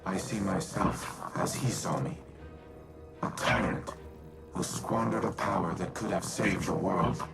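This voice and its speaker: Deep creaky robot ai from a video game, formal n solemn, music loud behind. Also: male voice, unhurried. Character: Rasputin, stately male war-machine AI in a robot body. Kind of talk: scripted video game dialogue.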